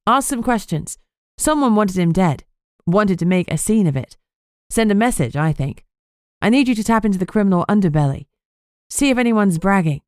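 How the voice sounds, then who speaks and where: A woman's voice: medium pitch, expressive, moderate pace, darkish tone, clear, resonant tone, no echo, clean studio sound, pitched above the performer's own voice, natural voice. A woman voicing a brusque, determined female investigator in audiobook dialogue, blunt and businesslike.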